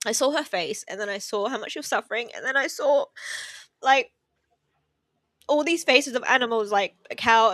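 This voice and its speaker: A woman's voice: medium pitch, a bit bright, dry recording, clean studio sound. A tearful woman, talking casually as a podcast guest, with lots of fillers and laughter mixed in. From Australia. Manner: chatty, many fillers, laughs through emotion. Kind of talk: casual interview chat. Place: relative's house, indoors.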